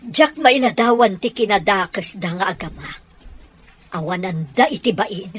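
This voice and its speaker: Husky-voiced wronged mother. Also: medium pitch, lively intonation, normal speed. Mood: indignant. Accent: Ilocano. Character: wronged, indignant mother, kindly to younger woman. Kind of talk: radio drama acting.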